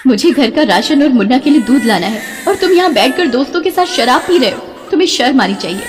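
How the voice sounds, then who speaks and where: A woman voicing a headstrong, pampered young woman in love, earnest and insistent, in an animated melodrama. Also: high voice, quick pace, big-room sound. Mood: indignant. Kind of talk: melodramatic animated story acting.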